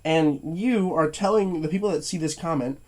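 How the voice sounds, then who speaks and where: Young male movie reviewer, slightly high voice, stuffy and tired, stutters, halting delivery. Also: rough recording. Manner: stuttering, halting, many fillers and restarts. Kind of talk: casual to-camera rant.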